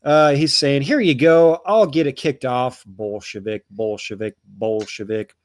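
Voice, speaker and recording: A man's voice: medium pitch, measured pace. A man hosting a casual livestream, reading out viewer donations and messages in a chatty, swearing manner.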